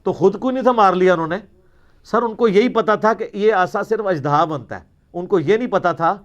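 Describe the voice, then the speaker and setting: Man, high voice, Pakistani Punjabi accent, religious Q&A lecturer, emphatic, argumentative, rhetorical, informal. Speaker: religious scholar answering questions.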